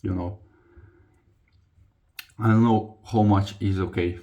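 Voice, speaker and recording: A man's voice: lowish voice, steady tone, slow pace, mellow. A young man from Croatia with a Croatian accent, chatting casually to camera in non-native English, hesitant as he searches for words. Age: young adult. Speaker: vlogger, football player. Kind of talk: casual confessional chat to camera.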